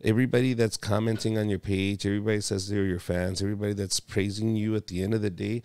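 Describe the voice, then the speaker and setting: Man, podcast host, low gravelly voice, loose profane chat, dry close studio sound. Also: level tone, fast, a little mellow, dry recording. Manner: loose and profane, calls the guest bro.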